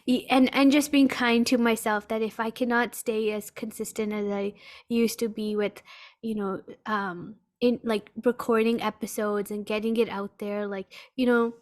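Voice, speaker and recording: A woman's voice: on the high side, little pitch movement, normal speed. A woman hosting a podcast, chatting casually and informally in a supportive interview style. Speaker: podcast host.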